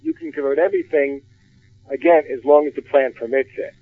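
A man, a retirement plan expert, explaining rules in a measured way on a radio finance discussion. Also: medium pitch, no room sound. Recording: phone-like narrow band.

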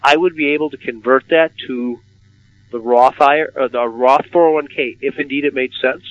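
A man's voice: medium pitch, steady tone, normal speed, no room sound. A man hosting a radio finance discussion, asking questions and often repeating words as he starts. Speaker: radio host. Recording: dull lo-fi radio sound.

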